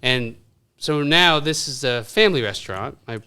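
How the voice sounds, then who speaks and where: A man from Vietnam, in his fifties, speaks as a podcast guest in a bright, ringing voice. His tone is earnest and friendly, with a very clean, smooth sound. He keeps saying "you know" as he talks. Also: mid-range voice, animated, moderate pace. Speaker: podcast guest, dentist and restaurant owner. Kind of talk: casual podcast chat.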